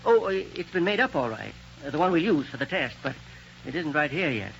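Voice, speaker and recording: A genial old scientist. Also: male voice, a little high, sing-song, fairly quick, vocal fry, a bit bright, slight background noise, full character voice. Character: genial older male scientist inventor. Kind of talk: 1930s radio drama acting. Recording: dull old radio transcription.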